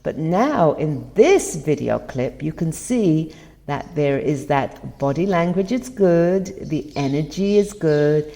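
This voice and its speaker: A woman's voice: low voice, expressive, slow pace, warm tone. A woman hosting a podcast, talking earnestly through tips on communication and stressing key words. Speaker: podcast host. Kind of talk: motivational talk over video clips.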